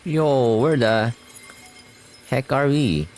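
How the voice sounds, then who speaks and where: A man's voice: medium pitch, expressive, extremely slow, darkish tone, faint music behind. A man doing casual, slangy gameplay reaction commentary as a streamer. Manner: slangy, informal.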